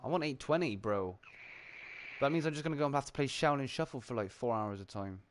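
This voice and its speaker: A man's voice: mid-range voice, lively intonation, fast, slight background noise. A man hosting a casual chat, talking in a laid-back, rambling way full of fillers. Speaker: channel host on a live round-table stream.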